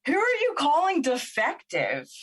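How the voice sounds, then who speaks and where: A woman's voice: high voice, huge pitch swings, measured pace, fairly bright tone, heavily acted. A woman playing a confused, weary young woman in an emotional audio drama, questioning and emotionally exposed. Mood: indignant. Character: Olivia, confused, weary, vulnerable young woman finding courage.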